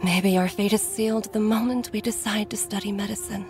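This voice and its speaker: A woman voicing a worried, tender doctor and mother who pleads softly, sometimes near a whisper, in a game cutscene. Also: mid-range voice, fairly even intonation, fairly quick, lightly acted. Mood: sorrowful. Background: music.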